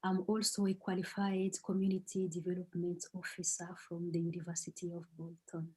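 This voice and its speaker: Brief: middle-aged woman, guest speaker, mellow and slightly low voice, measured delivery with pauses. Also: completely flat delivery, husky, low-quality recording. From Democratic Republic of Congo. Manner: measured, with frequent hesitations. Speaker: guest speaker, singer-songwriter. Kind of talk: online talk introduction.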